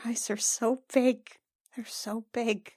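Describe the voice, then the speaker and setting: Woman roleplaying in a tabletop game, awkward and halting, unhurried, dry close sound. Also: on the high side, level tone, darkish tone, no echo. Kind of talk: casual improvised roleplay.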